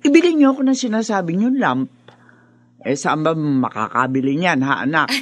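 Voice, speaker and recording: A woman's voice: sits low, very animated, normal speed. An older Filipino mother in a radio drama, fussy and worn from lack of sleep. Her lines swing animatedly and break into exclamations of complaint. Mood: curious, hopeful.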